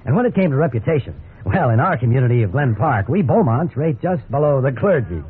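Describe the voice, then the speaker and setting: A man's voice: mid-range voice, big pitch swings, a little fast, breathy edge. An actor playing a breezy, incredulous American salesman husband in a 1950s radio drama, wry and conversational. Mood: wry, confident. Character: cheerful, incredulous suburban husband, an encyclopedia salesman. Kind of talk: 1950s radio drama acting with wry first-person narration. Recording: tinny, hissy 1950s radio recording.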